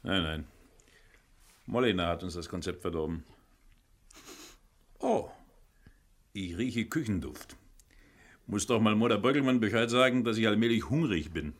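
An actor playing a wry, chatty captive companion in a radio crime drama, talking in a jaunty way. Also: male voice, lowish voice, expressive, measured pace, pauses often. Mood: genial, hungry. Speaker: supporting actor. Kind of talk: 1970s German radio crime drama acting.

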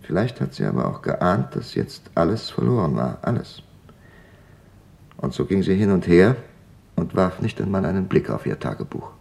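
Low, creaky-voiced grieving father. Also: big pitch swings, moderate pace, a little mellow, exaggerated voice. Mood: somber, subdued. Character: grieving father recalling his family's wartime arrest and deportation. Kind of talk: radio play testimony.

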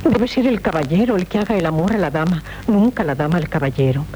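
An actress playing a witty, haughty princess in 1970s radio theatre, speaking in an ironic, mischievous way. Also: female voice, expressive, quick pace, warm tone, close to an everyday voice. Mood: teasing, ironic. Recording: faintly noisy, rumbly 1970s radio recording.

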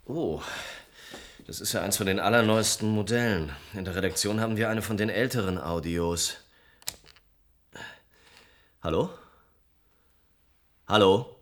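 An actor playing an inquisitive, easygoing journalist in a radio drama, asking questions in a conversational way. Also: male voice, on the low side, unhurried, long pauses, lightly acted. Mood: curious, polite.

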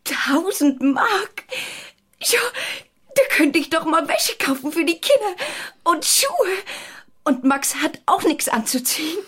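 A woman's voice: very high voice, very animated, slow, fairly bright tone, full character voice. An actress playing a poor, grateful mother, flustered and effusive, in a radio drama. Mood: excited.

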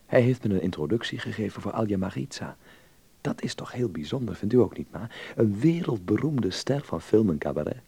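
An earnest young dreamer in a radio play. His voice sits low and mellow, with a husky grain. He sounds naive and curious, with a gentle teasing edge. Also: dry recording, heavily acted. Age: young adult. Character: earnest, naive young Dutchman abroad, aspiring actor.

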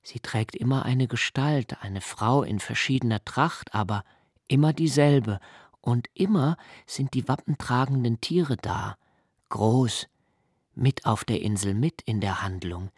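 An actor playing a young nobleman who narrates a literary radio play in a slow, hushed, reflective way. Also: medium pitch, slightly rough, no room sound, clean studio sound. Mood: musing. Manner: slow, hushed and reflective, dropping to near whispers. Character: young nobleman Malte, brooding poetic narrator.

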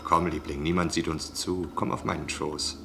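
An actor playing a lecherous, flattering tavern guest in a radio drama, coaxing and teasing in a gravelly voice. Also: male voice, a bass voice, normal speed, noisy background. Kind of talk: German radio drama acting.

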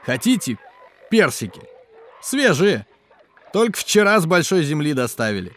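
An actor playing a hospitable, jolly geologist and cook at a polar station in a children's educational audio drama, with an animated, explanatory way of talking. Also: male voice, on the high side, moderate pace. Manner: animated, chuckling, explanatory, hearty.

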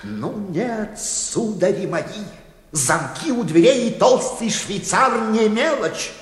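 A vain, pompous bank chairman from a radio play, speaking in a fairly high voice that swings grandly up and down. He is fussy, familiar and very pleased with himself. The sound rings with long hall reverb. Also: big pitch swings, slow pace, heavily acted. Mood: boastful, pompous. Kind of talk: theatrical Russian radio drama acting.

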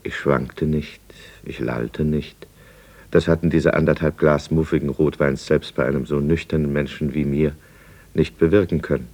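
A very deep-voiced, brooding drinker. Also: male voice, fairly even intonation, moderate pace, darkish tone. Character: downcast, self-pitying middle-aged merchant, a drinker, confessing. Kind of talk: 1950s German radio play monologue. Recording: 1950s radio drama studio recording.

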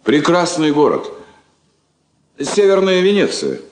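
A man's voice: fairly high pitch, huge pitch swings, takes it slowly, a little mellow, full character voice. A man playing a polite, slightly awkward Soviet embassy counsellor in a radio drama, formal, courteous and a little hesitant. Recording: roomy, echoing studio sound.

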